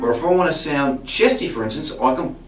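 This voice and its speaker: A man, a singing teacher, explaining vocal technique to camera in a relaxed way, often restarting his words. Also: on the high side, fairly quick, loud music behind the voice. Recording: roomy sound from a distance.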